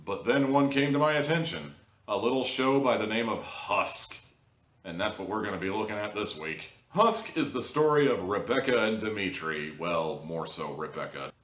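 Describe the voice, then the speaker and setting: A man's voice: fairly low pitch, animated, moderate pace, fairly bright tone. A man giving a casual, humorous review to viewers, rambling along with wry asides and mock-dramatic emphasis. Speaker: audio drama reviewer, show host. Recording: roomy echo, distant mic.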